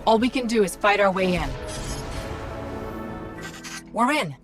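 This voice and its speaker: An actress playing a witty, upbeat little AI companion in a video game, brisk and confident. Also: female voice, medium pitch, expressive, natural voice. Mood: urgent, explaining. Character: witty, upbeat female Ghost, small AI companion. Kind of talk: scripted video game voice acting. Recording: music playing behind the voice.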